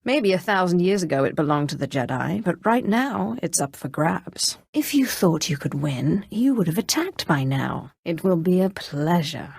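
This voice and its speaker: A woman's voice: lowish voice, lively intonation, creaky, darkish tone, slightly rough, no echo, studio quality, heavily acted. A woman voicing a haughty, ruthless Sith sorceress lord in a video game, with imperious, coldly menacing delivery. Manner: imperious, cold, menacing, deliberate diction. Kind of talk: dramatic video game voice acting.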